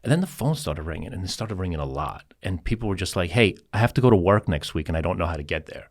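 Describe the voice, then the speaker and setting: A man's voice: low-pitched, expressive, racing. A man from Greece, a business owner and podcast guest, talking in a rambling, casual way and often repeating words. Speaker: scooter dealership chief executive, guest.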